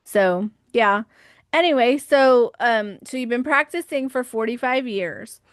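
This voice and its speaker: A woman's voice: fairly high pitch, expressive, unhurried, no echo. Woman host, dry close sound. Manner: supportive, laughs, says "yeah" often. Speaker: podcast host. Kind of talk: casual interview chat.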